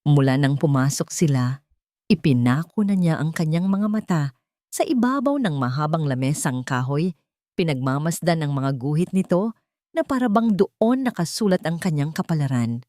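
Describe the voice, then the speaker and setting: A woman storyteller with a lowish voice delivers scripted drama in short, measured clauses. The recording is dry and close, with very little room sound. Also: animated, dark tone, no room sound. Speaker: storyteller, narrator.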